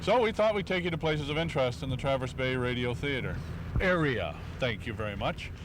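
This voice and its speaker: A man hosting a casual on-location radio show, asking curious, joking questions with hesitant pauses. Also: medium pitch, expressive. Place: on location, vehicle and outdoor noise behind. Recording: noisy old home-taped radio, road noise.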